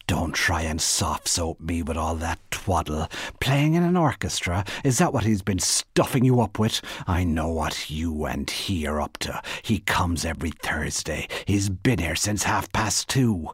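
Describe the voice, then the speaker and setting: A man's voice: low voice, moderate pace, hardly any pauses, husky, no echo, studio quality. A man voicing a large, angry, suspicious drunken wife with a rich, meaty voice in an audiobook story. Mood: furious, accusing. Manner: loud, confrontational, accusing. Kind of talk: literary audiobook character voice.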